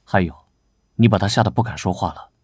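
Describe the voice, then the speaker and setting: A man playing a cool, guarded young vice-captain of knights in an animated drama, restrained, curt and dry. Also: lowish voice, quick pace, breathy edge, dry recording. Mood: calm, measured.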